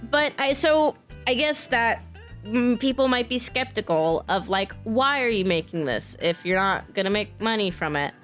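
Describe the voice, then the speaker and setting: Casual, halting American woman. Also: on the high side, animated, fairly bright tone, soft background music. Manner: informal, halting, candid. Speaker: video creator and mod developer. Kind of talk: casual, unscripted talk to camera.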